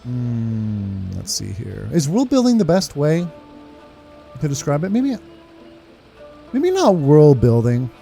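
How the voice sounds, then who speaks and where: A man streams a game with casual commentary. The voice is mellow and relaxed, mid-pitched, rambling as he thinks aloud, with soft music behind. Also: slow, vocal fry, long pauses.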